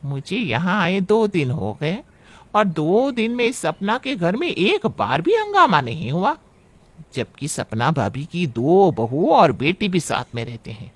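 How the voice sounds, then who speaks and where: Man playing animated scheming sharp-tongued aunt. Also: sits high, exaggerated voice. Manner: theatrical, drawn-out, needling. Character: scheming, sharp-tongued middle-aged paternal aunt, a troublemaker. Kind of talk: Hindi cartoon family story acting.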